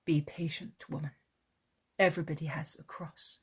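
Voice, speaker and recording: Grave, kindly male teacher, measured and gentle, slightly husky as a woman reader voices him. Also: deep voice, steady tone. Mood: calm.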